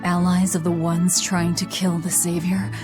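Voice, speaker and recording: A woman's voice: fairly low pitch, little pitch movement, measured pace, music in the background, natural voice. A woman voicing a cold, blunt young female avenger in a video game, curt and stern. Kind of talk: video game cutscene voice acting.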